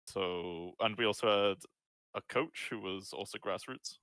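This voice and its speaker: A man's voice: lowish voice, measured pace, fairly bright tone, dry recording. A Scottish man in his twenties, answering interview questions in a laid-back, hesitant way. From Scotland. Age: 20s. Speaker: guest, esports player. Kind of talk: casual interview.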